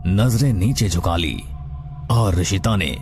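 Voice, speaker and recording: Man, horror cartoon narrator, scripted storytelling, low voice, prominent music bed. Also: takes it slowly, a little mellow.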